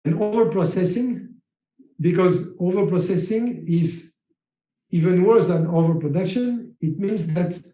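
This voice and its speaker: A man whose first language is French gives a slide lecture on manufacturing, speaking haltingly with many fillers. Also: high voice, dark tone, echoey room, muffled recording.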